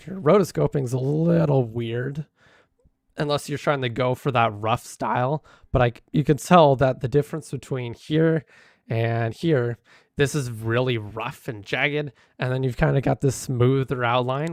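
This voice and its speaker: Hoarse, rambling male streamer. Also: mid-range voice, a little mellow, no room sound, studio quality. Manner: rambling, many fillers and restarts. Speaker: streamer critiquing videos. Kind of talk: casual live-stream commentary.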